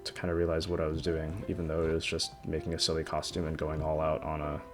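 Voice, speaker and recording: A young man in his twenties with a deep voice, rambling hesitantly about his life in a personal vlog, often stopping and restarting. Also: completely flat delivery. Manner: hesitant, halting, frequent restarts. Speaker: vlogger. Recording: music playing under the voice. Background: background music.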